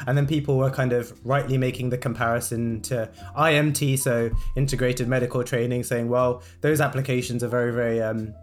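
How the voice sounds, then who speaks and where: A man's voice: medium pitch, steady tone, soft background music. A man co-hosting a casual medical podcast, explaining points in an easy, chatty way. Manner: fast-talking, explanatory. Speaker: podcast co-host.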